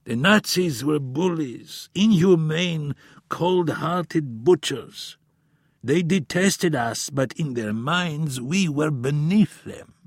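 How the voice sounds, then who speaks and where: A man voicing a raspy, frail old professor. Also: fairly high pitch, expressive, no room sound, clean studio sound, voice pushed up, heavily acted. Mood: impassioned, grave. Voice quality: raspy, slightly unsteady. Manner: slow, weary, impassioned. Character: frail elderly male professor, embittered and grave. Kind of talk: audiobook character voice.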